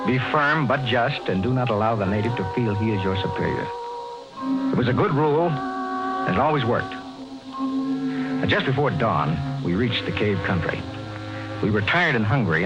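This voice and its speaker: A man's voice: medium pitch, close to an everyday voice. A man playing a stern, proud Earth captain in a 1950s radio drama, speaking and narrating in a formal, commanding way. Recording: dull vintage radio recording, music behind.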